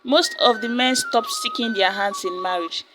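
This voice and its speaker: A woman narrating a folktale in a steady, plain storytelling manner. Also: a little high, moderate pace, noisy background. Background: soft music.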